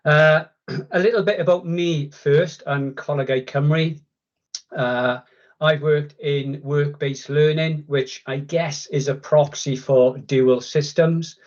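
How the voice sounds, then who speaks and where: A man's voice: a little high, steady tone, slow pace, dull audio. A man with a Welsh accent giving a chatty, self-deprecating guest talk on a video call. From Wales. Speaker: guest speaker. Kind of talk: online conference presentation.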